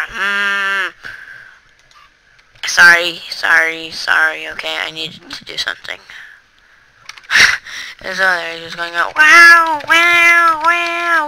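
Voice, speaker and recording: A gamer giving rambling, chatty commentary over their own play, talking straight to viewers with sudden excitable outbursts. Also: medium pitch, slight background noise, rough recording. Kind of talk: casual gameplay commentary.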